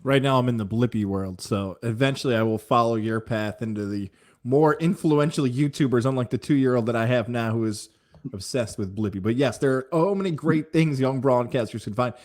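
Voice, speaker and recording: A man's voice: medium pitch, fairly quick, darkish tone. A middle-aged American man hosting an interview podcast in a chatty, effusive, conversational way. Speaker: podcast host, sports broadcaster.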